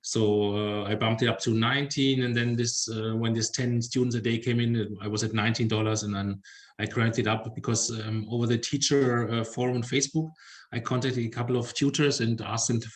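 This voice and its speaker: Hesitant man on a call. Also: mid-range voice. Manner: hesitant, many fillers. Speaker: German tutor, podcast guest. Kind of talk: casual video call chat.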